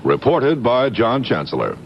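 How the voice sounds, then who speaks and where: An American man reading formal, measured, scripted news introductions as a television announcer. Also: mid-range voice, wildly swooping pitch, dull audio, noisy background. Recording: archival TV broadcast, music underneath.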